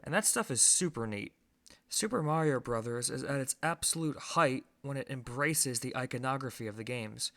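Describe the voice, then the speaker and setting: A man's voice: medium pitch, lively intonation, normal speed, pushed and bright. A man giving a scripted film review as host, conversational and opinionated, with emphatic stresses.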